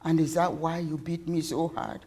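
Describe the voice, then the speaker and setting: A woman voicing a hurt, anxious young daughter in a story, halting and pleading as she questions her mother. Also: sits low, steady tone, warm tone, slightly rough, voice pushed down.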